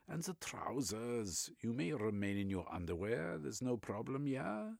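A man voicing a flamboyant, pushy middle-aged German doctor with a German accent, excitable and lecturing. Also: medium pitch, unhurried, gravelly, no room sound, studio quality, pitched above the performer's own voice, heavily acted.

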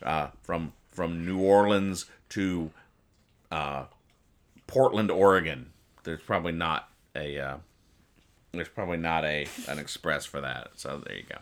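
A man's voice: low voice, measured pace. A man hosting a comedy podcast, casually reading out and explaining comics in a rambling, mock-earnest way. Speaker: podcast host.

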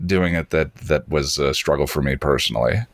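A man's voice: extremely low pitch, brisk, creaky, dry recording, studio quality. A man hosting a casual podcast conversation in a self-deprecating, hesitant manner. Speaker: podcast and channel host.

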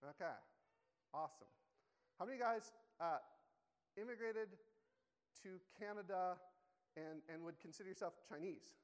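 A man's voice: high voice, moderate pace, pauses often, clean tone. A man preaching a sermon in a church, conversational and self-correcting, often asking the congregation questions. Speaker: pastor. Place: church sanctuary. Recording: long hall reverb.

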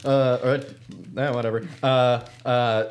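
A man's voice: mid-range voice, flat delivery, takes it slowly. A young man co-hosting a podcast, joking loosely, laughing often and tripping over his words. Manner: jokey, laughs often, rambling restarts. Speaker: podcast co-host. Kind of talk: casual chat.

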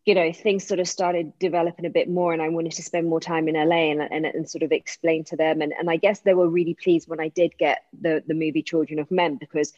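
A woman talks loosely and animatedly as an interview guest, piling up fillers and restarts. Her voice sits very low. The recording is dry, dull and lo-fi. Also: brisk, few pauses, no echo. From England. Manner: chatty, animated retelling with many fillers and restarts. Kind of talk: casual interview chat.

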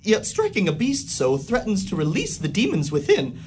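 A man's voice: sits high, huge pitch swings, normal speed, a little mellow. A jittery young schoolmaster stammers his way through formal courtesies, his voice swooping up and down as nerves get the better of him, as a man plays him. He turns preachy and fussy about demons and propriety. There is a faint hiss behind him. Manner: stammering, hesitant, overly formal. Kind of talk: community radio play acting.

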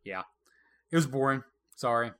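Bright, lively man hosting a quiz. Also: medium pitch, moderate pace, clean tone. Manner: playful, teasing quizmaster, laughs often. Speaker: podcast co-host running a quiz. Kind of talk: casual retro gaming chat.

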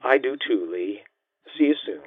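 A man voicing a hesitant, gently polite estranged wife in audiobook dialogue, heard through a telephone filter. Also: a little high, expressive, no echo, voice pushed up. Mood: subdued, tentative. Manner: halting, unsure, gently polite. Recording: thin, band-limited phone-line sound.

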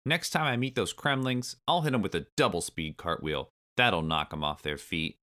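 A man's voice: low-pitched, moderate pace, sharp tone. A man voicing a plucky, loyal young monkey sidekick, earnest and eager, in a storybook. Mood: determined.

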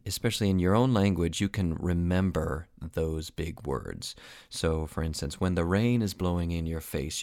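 Low gravelly guy, voice teacher rambling thru a podcast lesson, dry sound. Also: no echo. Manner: relaxed, rambling with many fillers. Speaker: voice teacher and opera singer.

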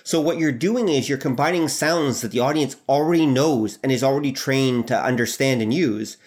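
A man speaking as a podcast guest in casual chat, in an explanatory, lecturing way. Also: mid-range voice.

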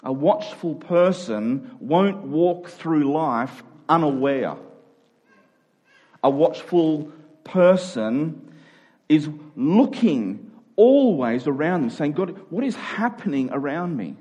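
A man's voice: fairly high pitch, expressive, slow, mellow, breathy edge, muffled recording. A man preaching in a conversational, earnest and self-deprecating way, thinking aloud and repeating phrases as he goes. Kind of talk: conversational sermon.

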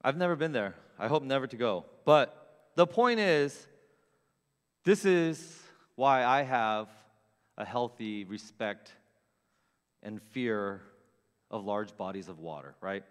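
A middle-aged man preaching a sermon, telling funny stories about himself with big swings in loudness. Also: medium pitch, slow, long pauses, big-room sound. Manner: animated, self-mocking storyteller with big swings in loudness. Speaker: pastor. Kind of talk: storytelling sermon. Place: church sanctuary with long reverb.

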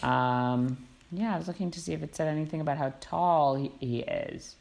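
A woman co-hosting a podcast in casual chat, playful and animated, often reading aloud. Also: low-pitched, normal speed, creaky. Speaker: podcast co-host.

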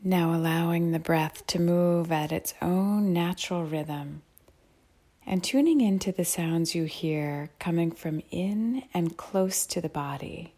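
Slow, low, mellow woman guiding meditation. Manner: soft, calm, measured instruction with long phrases and pauses. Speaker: meditation guide. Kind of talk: guided mindfulness meditation.

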